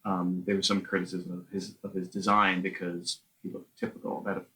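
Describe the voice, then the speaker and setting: Director guy, low bright voice, measured talk. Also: monotone, low-quality recording. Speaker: film director. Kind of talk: interview answers.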